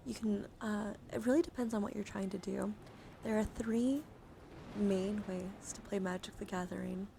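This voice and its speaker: A woman hosting an ASMR livestream, chatting softly and often slipping into a whisper with careful, deliberate enunciation. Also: mid-range voice, fairly even intonation, vocal fry. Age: adult, over 18. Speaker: streamer host. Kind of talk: soft ASMR livestream chat. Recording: noticeable background noise.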